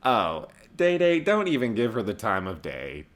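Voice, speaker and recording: A man's voice: fairly low pitch, wildly swooping pitch, moderate pace. A man giving sarcastic, wisecracking scripted commentary as he recaps a show. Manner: mocking, wisecracking. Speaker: comedic reviewer narrating an episode summary. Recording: music behind the voice. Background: music.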